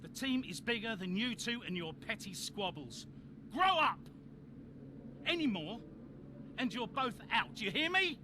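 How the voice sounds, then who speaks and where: An actor playing a blunt, hot-tempered middle-aged boss of a racing team in a video game cutscene. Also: male voice, very high voice, very animated, a little slow, long pauses, noisy background, full character voice. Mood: furious, scolding. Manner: blunt, commanding, scolding. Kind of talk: cinematic video game dialogue.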